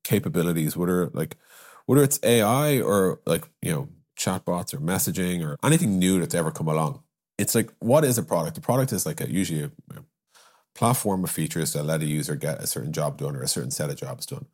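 A man's voice: deep voice, lively intonation, brisk, a little mellow, slightly rough. A man explaining his views informally in a casual business discussion, with frequent asides and examples. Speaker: podcast guest, software company strategist.

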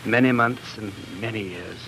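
An actor playing an anguished young gentleman in love, earnest and halting, in 1940s radio drama style. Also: fairly low pitch, a little hiss or hum, close to an everyday voice, poor audio. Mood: brooding. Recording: 1940s radio broadcast, music underneath.

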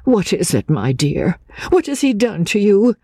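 A woman's voice: mid-range voice, very animated, unhurried, dark tone, breathy edge, dry recording, pitched above the performer's own voice, heavily acted. A woman voicing a fearless, protective older countrywoman, forthright and motherly, in a dramatic audiobook reading. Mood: indignant, protective.

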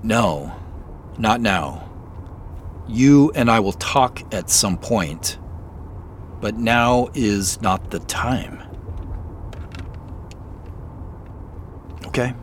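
A man acting in an audio drama as a guarded, firm character who speaks in deliberate, clipped phrases. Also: on the low side, expressive, slight background noise. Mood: firm, curt.

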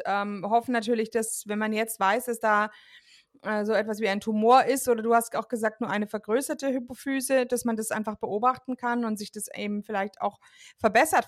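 A woman hosting a podcast interview, speaking in a friendly, encouraging way with frequent filler sounds. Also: a little high. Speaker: podcast host. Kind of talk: casual interview chat.

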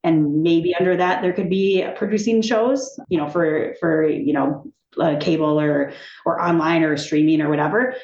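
Woman guest, lively and chatty, laughs easily, slightly low voice; echoey, muffled recording. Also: normal speed. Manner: animated, chatty, laughing, many restarts. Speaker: TV executive, podcast guest. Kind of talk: casual interview chat. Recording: echoey room, dull sound.

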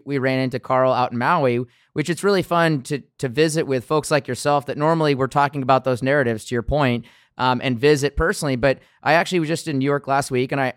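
A man from the US West Coast hosting a podcast, asking long, measured questions of his guest. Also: mid-range voice, smooth, dry recording, clean studio sound. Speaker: podcast host. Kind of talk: podcast interview.

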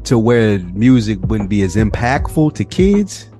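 A man from the United States co-hosting a casual podcast, explaining his views in a laid-back, deliberate way. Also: lowish voice, big pitch swings, dark tone, soft background music. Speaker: podcast co-host. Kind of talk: casual conversational podcast discussion.

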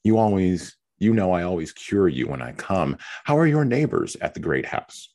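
A patient, sensible young woman with a calm, soothing manner, read by a man in his own deep, husky voice. The lines move with lively pitch. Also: normal speed, heavily acted. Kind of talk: reading a novel aloud.

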